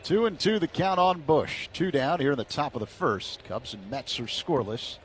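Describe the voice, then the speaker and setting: A man calling baseball play-by-play on the radio in a steady, measured way. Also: on the high side, a little hiss or hum.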